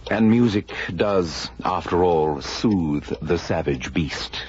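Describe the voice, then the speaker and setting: A self-assured consulting detective in a radio spoof. His low voice is theatrical and swooping, with a slow, pompous delivery, on a muffled recording. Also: lively intonation, darkish tone, slight background noise. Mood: smug, deliberate.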